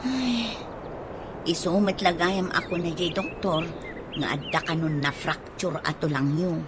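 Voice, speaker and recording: A woman's voice: on the low side, a little slow, background noise, natural voice. Young nurse, played by a woman, birdsong behind. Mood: concerned. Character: young woman nurse, niece, polite and concerned. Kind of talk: radio drama acting.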